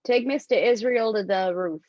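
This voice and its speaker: A woman reading the part of a curt, businesslike FBI official in an amateur table read, formal and measured. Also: medium pitch, a little mellow, dry recording, highs cut off, natural voice.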